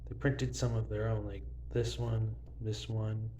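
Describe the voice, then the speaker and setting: Young male collector, relaxed rambling delivery, dark soft timbre, casual phone-video feel. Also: fairly low pitch, a little slow, a little hiss or hum. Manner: casual, rambling, many fillers like "kinda" and "like". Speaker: banknote collector. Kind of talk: casual show-and-tell narration. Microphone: phone filming banknotes.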